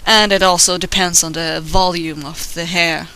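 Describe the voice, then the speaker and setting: Bright high-voiced Swedish man, rambling. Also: steady tone, moderate pace, clean tone, poor audio. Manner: rambling, self-deprecating, swears casually. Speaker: digital artist narrating his drawing. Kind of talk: casual commentary over a drawing. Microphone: voice-over recorded while drawing.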